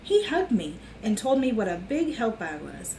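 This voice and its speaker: A woman's voice: on the high side, big pitch swings, normal speed, darkish tone. Woman storyteller in an echoey room. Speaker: children's storytime host. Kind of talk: reading a picture book aloud to children. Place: small living room on a sofa, indoors. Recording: echoey room with faint hiss.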